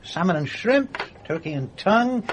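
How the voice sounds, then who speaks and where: A man's voice: high-pitched, very animated, moderate pace, a little hiss or hum. A man playing an obliging grocer listing his wares in a radio mystery drama.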